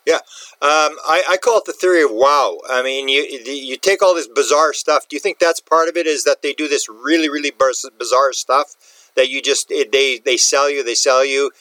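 Casting: male panel participant, mid-pitched, informal and conversational, dry sound. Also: normal speed, sharp tone, no room sound, rough recording. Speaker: interview participant asking questions. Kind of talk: casual conversational discussion.

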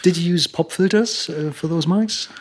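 Husky-voiced male podcast host. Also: fairly high pitch, moderate pace. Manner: brief affirmations, joking asides. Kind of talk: casual interview questions.

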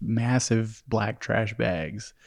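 A man's voice: lowish voice, fairly even intonation, extremely slow, vocal fry, no echo. A young man from Costa Rica, a podcast guest telling candid stories in casual talk and laughing often. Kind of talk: casual conversation.

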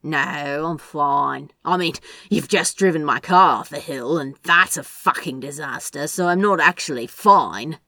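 A woman's voice: on the low side, animated, unhurried, few pauses, pushed and bright, dry recording, exaggerated voice. Woman doing a blunt, weary young man. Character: tired, blunt red-haired young man.

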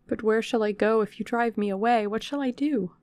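A woman's voice: on the high side, brisk, a little mellow, no echo, clean studio sound, pitched above the performer's own voice, lightly acted. A woman voicing a desperate, exhausted young woman who pleads for shelter in an imploring manner. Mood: pleading, desperate.

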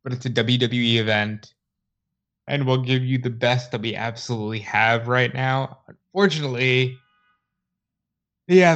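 A man co-hosting a casual podcast chat, talking quickly and loosely. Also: mid-range voice, a bit bright, dull audio. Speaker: podcast co-host.

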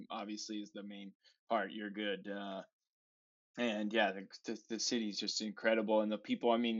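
A man in his twenties with an American accent, chatting casually and haltingly as a podcast guest. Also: fairly low pitch. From San Francisco, USA. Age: 20s. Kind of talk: casual travel chat. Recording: dull, lo-fi voice capture.

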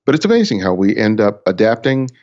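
A man speaking as a podcast guest in casual conversation, talking slowly and deliberately. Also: lowish voice, sing-song, a little mellow. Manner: slow and deliberate delivery. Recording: dull lo-fi audio.

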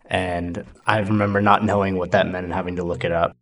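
A young man from the United States speaks with a deep, low voice. He talks in a relaxed, unhurried podcast chat, pausing on "um" and "like" as he thinks. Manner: laid-back, hesitant with "um" and "like". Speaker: guest.

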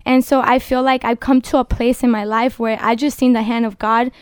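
High, smooth-voiced young woman. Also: flat delivery, fairly quick, dry recording, clean studio sound. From Dominican Republic. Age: 20s. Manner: earnest and passionate, exhorting listeners. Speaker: guest. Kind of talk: casual faith-based podcast talk. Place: studio.

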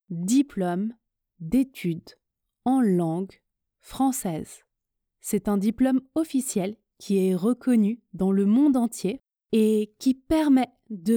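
A woman from France hosting a podcast lesson, speaking clearly and encouragingly to her listeners. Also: mid-range voice, warm tone, no room sound, studio quality. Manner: slow, clear and encouraging, addressing listeners directly. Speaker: French teacher and podcast host.

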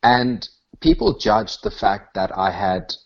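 A man with a South African accent giving reflective, conversational interview answers in a thoughtful, halting way that rephrases as it goes. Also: on the low side, animated, gravelly, highs cut off. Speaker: interview guest, touring comedian.

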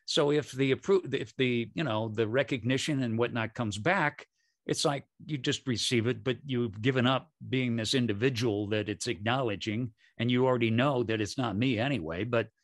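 A man speaking as a podcast guest in a calm, reflective way. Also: medium pitch, moderate pace. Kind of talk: casual interview conversation.

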